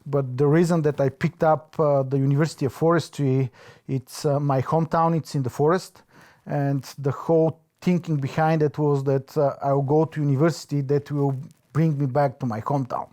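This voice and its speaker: Mellow Bulgarian-accented man, hesitant. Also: on the high side, little pitch movement. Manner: hesitant, pausing often to search for words. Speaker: interviewee. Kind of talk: reflective oral history interview.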